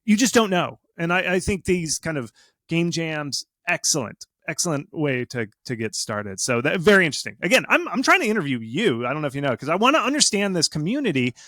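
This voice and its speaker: A man's voice: on the high side, a little fast, no room sound, clean studio sound. A man giving casual, conversational expert advice in an emphatic, informal way, often acting out imagined reactions. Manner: animated, acts out imagined reactions and quotes. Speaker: guest expert on game marketing.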